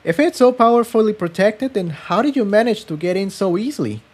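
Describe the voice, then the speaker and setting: A man's voice: high voice, animated, fairly quick, darkish tone, pitched above the performer's own voice. A man voicing a curious, questioning pony adventurer companion in a story reading, with an inquisitive, light delivery.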